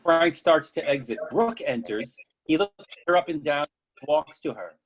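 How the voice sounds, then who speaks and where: A man's voice: on the high side, animated, unhurried. A man reading stage directions as scripted narration.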